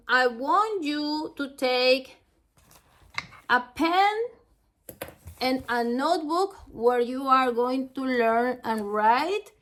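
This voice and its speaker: Woman, Latin American Spanish accent, native Spanish speaker, high voice, slow halting delivery, language teacher. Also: a bit bright. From Ecuador. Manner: halting, careful, phrase by phrase. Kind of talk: instructional lesson to camera.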